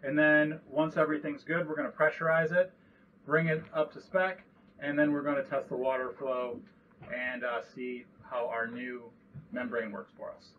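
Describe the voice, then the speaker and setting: A man's voice: mid-range voice, little pitch movement, moderate pace, recorded from a distance, phone-quality sound, a little hiss or hum. A man in his thirties walking through a repair step by step while working in a cramped sailboat cabin, recorded on a nearby action camera. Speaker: boat owner showing a repair. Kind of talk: hands-on how-to walkthrough.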